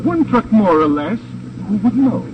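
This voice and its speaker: Suave German crook, wildly swooping voice. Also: male voice, high voice, very animated, distant mic. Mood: smoothly insinuating. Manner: formal, polite, deliberate, sly. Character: suave, unflappable German male smuggler and swindler. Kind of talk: 1950s radio crime drama acting. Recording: noisy, dull old 1950s radio recording.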